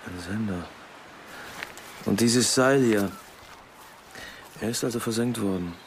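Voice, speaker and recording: Brief: male detective, clipped questions, creaky low voice, radio play. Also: takes it slowly, slight background noise. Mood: terse, puzzled. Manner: terse, questioning. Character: terse, inquisitive male police detective. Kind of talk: radio drama acting.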